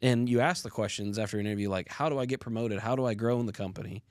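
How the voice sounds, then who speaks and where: Brief: young man, podcast co-host, lowish voice, earnest and reflective, close dry mic. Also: racing, dry recording. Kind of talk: casual podcast conversation.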